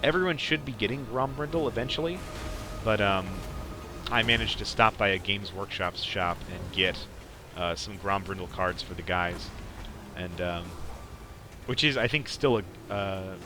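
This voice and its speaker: Low-voiced man commentating a game, noisy background. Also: normal speed. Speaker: podcast host commentating gameplay. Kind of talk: casual gameplay commentary. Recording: clearly noisy background behind voice.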